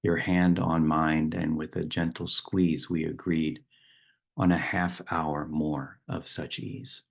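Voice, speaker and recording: A man leading a guided meditation and reading verse, speaking slowly and softly with long pauses. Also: very deep voice, little pitch movement, creaky. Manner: slow, unhurried, soft instruction with long pauses. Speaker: meditation teacher.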